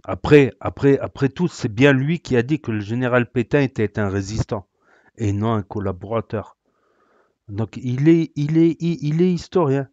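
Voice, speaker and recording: A man's voice: medium pitch, lively intonation, moderate pace, a little mellow, no echo, lo-fi sound. A man hosting a reaction video, sarcastic and rambling, with many hesitations. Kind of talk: casual reaction commentary.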